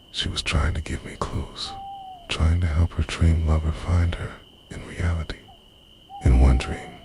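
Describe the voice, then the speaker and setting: A man narrating a scripted first-person ASMR story as a lovesick, obsessive lover, in a breathy, half-whispered, intimate delivery. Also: rumbling low. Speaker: audio roleplay performer.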